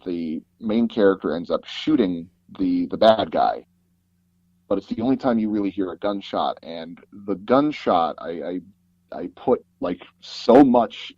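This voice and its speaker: Low-voiced man chatting, dry and slightly muffled. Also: slightly rough, no echo. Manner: rambling, animated storytelling with frequent "like" and repeated false starts. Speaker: interview guest, sound designer and audio drama producer. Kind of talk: casual interview chat. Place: small closet recording booth padded with sound-absorbing foam.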